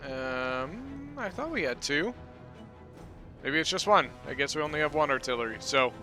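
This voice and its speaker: A man hosting his gaming channel, giving relaxed, chatty commentary on a battle replay, peppered with "you know" and "uh". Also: on the high side, normal speed, music in the background.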